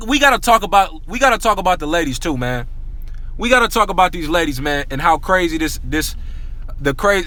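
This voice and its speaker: A man giving an excited, slangy basketball rant to his viewers, repeating himself often and saying he is losing his voice. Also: sits high. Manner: excitable, repetitive, slangy, addresses viewers as y'all. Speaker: sports talk vlogger. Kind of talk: hyped casual basketball rant.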